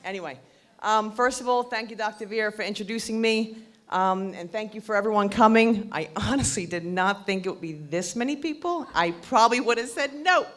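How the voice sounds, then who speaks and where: A woman in her fifties speaking loudly and with self-deprecating humor as she tells her own story to an audience. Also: medium pitch, fairly quick. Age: 50s. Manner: loud, joking, self-deprecating. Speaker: patient speaker. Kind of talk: personal story at a public event.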